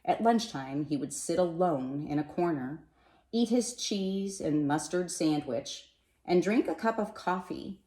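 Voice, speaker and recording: A woman reading a children's picture book aloud in an expressive, animated way, with dramatic pauses and emphasis. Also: fairly low pitch. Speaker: storybook reader. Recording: roomy, echoey sound.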